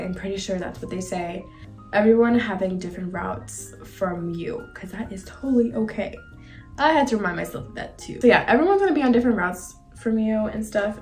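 A young woman in her early twenties talks to her viewers like a vlogger in a chatty monologue. Her voice sits at a medium pitch, and her wording is informal and slangy, with a confiding manner. Music plays behind her. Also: a little mellow. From several countries, including Argentina and Bosnia. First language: bilingual English and Spanish. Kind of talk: casual chatty get-ready-with-me monologue. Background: background music.

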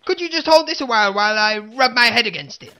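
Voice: mid-range voice, animated, quick pace, bright, forceful tone. An actor voicing a hapless, theatrically boastful wannabe supervillain in a comedy audio drama, grandiose one moment and meekly awkward the next. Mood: sheepish, awkward. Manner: grandiose threats collapsing into meek mumbling.

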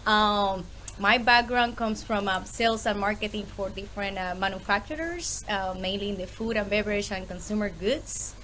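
A woman in her thirties with a Puerto Rican accent, a podcast guest chatting on a video call from home, lively and full of fillers. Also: mid-range voice, normal speed, a little hiss or hum. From Puerto Rico. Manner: lively, laughs often, many fillers. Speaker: guest, small business owner. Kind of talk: casual interview on a video call. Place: home room with bookshelves.

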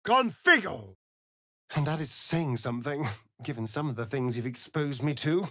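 A man's voice: on the high side, animated, moderate pace, sharp tone, dry recording, studio quality. A man with an English accent playing a vain, sardonic aristocratic vampire rogue in a video game, theatrical and arch. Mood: incanting.

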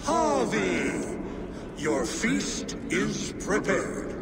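A man's voice: sits high, sing-song, very slow, gravelly, over loud music, heavily acted. An older actor playing an old, boisterous and imperious frost giant king in a video game, with a grand, commanding, aged delivery. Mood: proud, welcoming. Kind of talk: video game cutscene acting. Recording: loud ambient noise behind.

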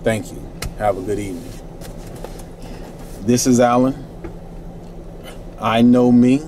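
A man's voice: mid-range voice, steady tone, slow pace, dark tone, slight background noise. A middle-aged man reciting his own rhyming spoken word poetry in a laid-back, rhythmic way from inside a parked minivan at night.

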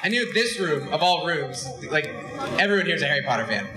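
A man's voice: fairly high pitch, big pitch swings, brisk. A young man telling a self-mocking story on stage to a live crowd, holding a handheld mic. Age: young adult. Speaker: company head and co-host. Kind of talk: stage anecdote to a live audience. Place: large dark stage in a hall. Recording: echoey hall.

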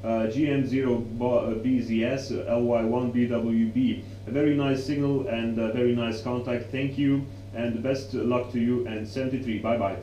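A man's voice: medium pitch, fairly even intonation, normal speed, few pauses, warm tone, muffled recording. A young adult man, demonstrating and explaining amateur radio calls as presenter and operator. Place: small indoor radio room. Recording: roomy echo, faint hum and noise.

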